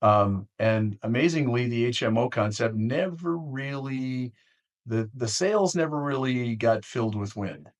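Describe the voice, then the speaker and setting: American male podcast host, medium pitch. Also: a little slow. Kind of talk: conversational interview.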